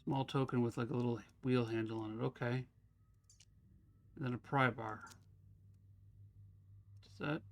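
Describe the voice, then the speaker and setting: A male streamer in a mid-pitched voice talks casually through a puzzle game. He thinks aloud as he picks up objects and chats with his viewers. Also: steady tone, unhurried, pauses often. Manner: chatty, thinks aloud while solving puzzles. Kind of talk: casual live gameplay commentary.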